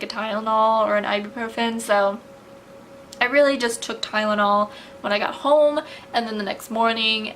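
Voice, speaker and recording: A woman's voice: fairly high pitch, a bit bright, a little hiss or hum, low-quality recording. A young woman vlogging in a casual, rambling way, full of "like" and "um", her voice tiring from talking. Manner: rambling, many filler "like"s and "um"s. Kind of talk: casual chat to camera.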